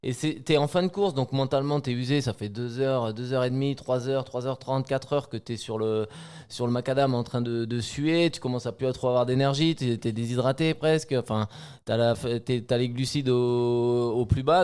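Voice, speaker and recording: A man in his thirties with a resonant, clean voice at an ordinary pitch. He chats as a guest commentator, explaining in an informal, cumulative way and often restating his phrases. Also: fairly quick, darkish tone, clean tone. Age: 30s. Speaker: podcast guest commenting on running. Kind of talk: casual chat, analytical commentary.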